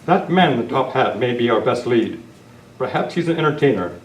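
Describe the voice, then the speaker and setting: An upright masked western hero, read by a man in old-time radio style. His mid-pitched delivery is formal and earnest. It sounds distant in an echoey room with faint noise. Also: animated, a little fast, natural voice. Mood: deliberating. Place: club meeting room, live presentation.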